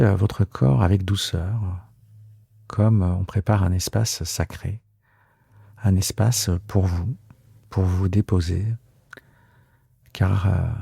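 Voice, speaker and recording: A man guiding a relaxation meditation in a soft, husky, gravelly voice, soothing and unhurried, with hesitant pauses. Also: low-pitched, no echo, clean studio sound. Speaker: yoga nidra guide and podcast host.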